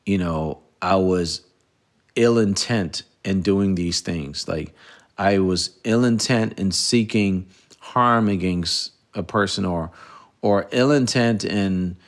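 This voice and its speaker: A middle-aged man from the Bahamas speaks in a low, gravelly voice. He hosts a solo podcast and reflects earnestly, often stopping and restarting his sentences. His voice has a rough edge of vocal fry throughout. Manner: earnest, halting with frequent restarts and fillers. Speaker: podcast host.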